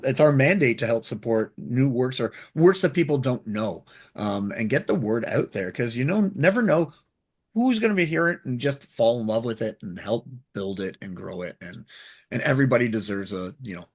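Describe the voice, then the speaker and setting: A man with a husky voice hosting a casual radio interview. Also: medium pitch, expressive, moderate pace.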